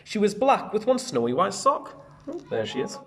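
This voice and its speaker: A man reads a picture book for young children. His pitch swings widely as he moves through the rhymes in a sing-song teacher's way, breaking off for chatty asides. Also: normal speed. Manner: animated, sing-song rhyming read-aloud. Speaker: primary school teacher reading a storybook.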